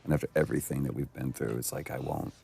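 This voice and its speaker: A man, an actor, reflecting with enthusiasm on his own game role in a relaxed commentary conversation. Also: extremely low pitch, fast talker, gravelly.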